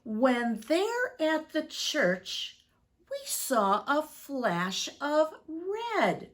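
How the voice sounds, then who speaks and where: Woman, children's story-time teacher, very slow pace, lively rise and fall. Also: high-pitched. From United States, Midwest. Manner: deliberate, expressive, addressing young children. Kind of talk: storybook reading and chat for young children.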